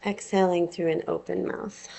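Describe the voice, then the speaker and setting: A woman teaching yoga nidra, guiding a relaxation with soothing, unhurried phrasing and long pauses. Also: on the low side, gravelly, a little mellow. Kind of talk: guided meditation, gentle instruction.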